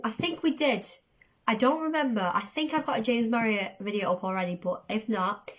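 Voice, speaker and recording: A woman chatting casually as the host of a reaction video, excitable, her voice going a little from a cold. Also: a little high. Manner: excitable, self-described overdramatic. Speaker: host of a music reaction channel.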